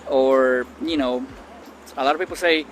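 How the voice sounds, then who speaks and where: A man's voice: mid-range voice, steady tone, normal speed, slight background noise. Reflective middle-aged man from Costa Rica. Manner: thoughtful, with frequent ums and restarts. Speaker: interviewee. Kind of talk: reflective personal testimony.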